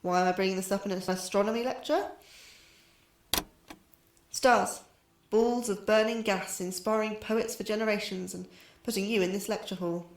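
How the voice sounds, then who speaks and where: An actress playing a young astrobiologist, anxious and wry, with a dry, scholarly way of talking. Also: female voice, medium pitch, steady tone, moderate pace, a little hiss or hum, lightly acted. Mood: wry, lecturing. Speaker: lecturer. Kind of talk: scripted lecture in audio drama. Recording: roomy echo, faint music behind.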